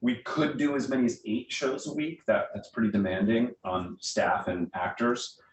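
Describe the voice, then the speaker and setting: An American man giving informal, conversational answers as a guest speaker at a small meeting. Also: normal speed. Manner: conversational, explanatory, uses everyday analogies. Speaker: guest speaker, theatre director. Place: indoor meeting room. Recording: echoey room, distant mic, faint rumbling noise.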